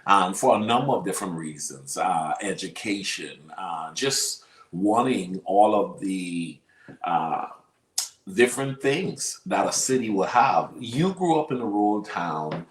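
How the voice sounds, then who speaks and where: Deep-voiced Bahamian man in an echoey room. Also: lively intonation, a little slow. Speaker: interview show host. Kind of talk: conversational interviewing. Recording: roomy, echoey sound.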